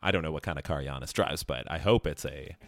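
A man's voice: extremely low pitch, fast talker, fairly bright tone, no room sound, soft background music. A man co-hosting a podcast, chatting casually about sports with dry, wry humor. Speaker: podcast co-host.

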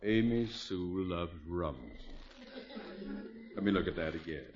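An actor playing a vain, pompous neighbor who is quick to sue and to suspect, in a theatrical 1950s radio comedy style. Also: male voice, low-pitched, crowd noise. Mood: suspicious. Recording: vintage radio recording, narrow band, hiss.

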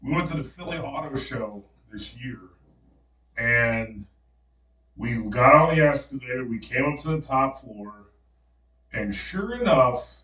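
A man's voice: mid-range voice, a little slow. A man, around his thirties, who tells stories with energy and acts out the voices of the people in them. He sits a little away from the mic, and the room gives his voice a noticeable echo. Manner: animated storyteller acting out quotes. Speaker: podcast co-host. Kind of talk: casual storytelling chat. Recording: roomy, slightly distant mic.

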